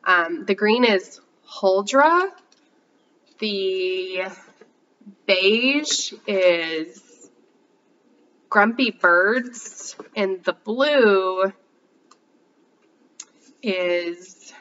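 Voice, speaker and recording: Congested, chatty female host, bright forceful tone, slightly dull lo-fi audio. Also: medium pitch, animated, extremely slow, long pauses. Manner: chatty, rambling, many fillers. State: congested from a cold. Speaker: podcast host. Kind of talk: casual chat showing yarn haul.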